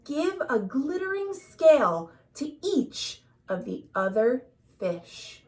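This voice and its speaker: A woman voicing a wise old octopus for a children's storybook, speaking slowly and solemnly in a deep voice. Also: sing-song, darkish tone, heavily acted. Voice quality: deep, drawn-out voice. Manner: slow, portentous.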